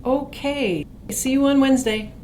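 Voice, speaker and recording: A woman playing a brisk, unaccommodating medical receptionist in an educational dramatization. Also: high-pitched, darkish tone. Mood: polite. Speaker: doctor's office receptionist. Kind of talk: acted phone dialogue in an educational video. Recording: faint background noise.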